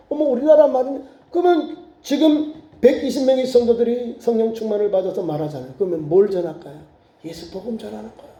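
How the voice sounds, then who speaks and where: A man preaching a sermon as pastor, acting out crowd voices in lively storytelling. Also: very high-pitched. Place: church sanctuary. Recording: echoey church room.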